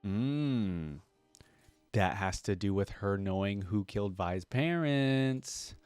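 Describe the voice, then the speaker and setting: A man's voice: fairly low pitch, expressive, takes it slowly, darkish tone, smooth, dry recording. A man giving casual, enthusiastic reaction commentary as the host of a fan channel, speaking informally with slang. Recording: music playing behind the voice. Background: video game theme music.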